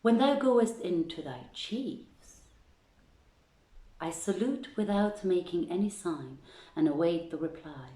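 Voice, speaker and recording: A woman's voice: on the low side, expressive, slow pace, dark tone, echoey room, rough recording. A woman hosting an online reading, moving between informal chat and reading old tales aloud, recorded in a room at home.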